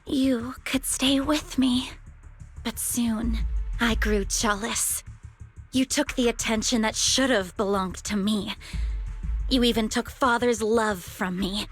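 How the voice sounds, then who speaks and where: A woman's voice: a little high, fairly even intonation, husky. An actress playing a bitter, grieving young woman raised in a cult, wounded and resentful, in a dramatic video game scene. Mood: bitter, wistful. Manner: slow, deliberate, wounded and resentful. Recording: music behind the voice.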